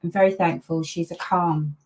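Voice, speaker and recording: Low-voiced grandmother chatting in an interview. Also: fairly even intonation, normal speed, rough recording. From Malaysia. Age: older. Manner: chatty, warm laughs, many fillers. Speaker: parenting coach, interview guest. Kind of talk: casual interview over a video call.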